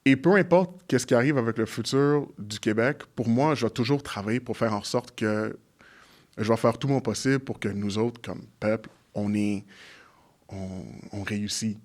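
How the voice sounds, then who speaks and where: A man's voice: fairly low pitch, darkish tone. An English-speaking man from Montreal talks through his views in French as a guest. He stops and restarts often as he hunts for the right words. Now and then an English phrase slips into his sentences. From Montreal, Quebec. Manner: halting, restarts often while searching for words. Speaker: guest, community organization leader. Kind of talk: conversational interview.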